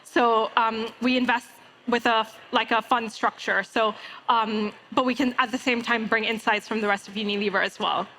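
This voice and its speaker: A woman's voice: a little high, fairly even intonation, moderate pace, bright, forceful tone, rough recording. A woman moderating an investor panel on a conference stage in a conversational style. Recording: faint ambient noise, live event stage.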